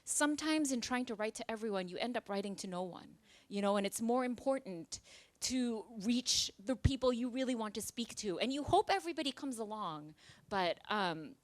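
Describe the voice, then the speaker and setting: A woman from Singapore, a novelist, talking through her ideas at a library event, pausing with fillers and restarting her sentences. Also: fairly high pitch, animated, no echo. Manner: thoughtful, with many fillers and restarts. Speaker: novelist guest. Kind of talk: conversational author talk. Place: library event space with live audience.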